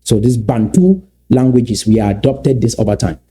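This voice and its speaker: Middle-aged man, Cameroonian English accent, warm low timbre, lecturing commentator who poses rhetorical questions. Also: animated, moderate pace. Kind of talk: commentary on played clips.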